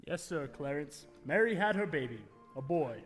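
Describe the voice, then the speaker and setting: An actor playing a heavenly narrator in a 1940s-style radio play, telling the story in a theatrical, folksy way. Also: male voice, a little high, animated, moderate pace, close to an everyday voice. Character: wise senior heavenly narrator recounting a life to Clarence. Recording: live stage taping in echoey hall, music.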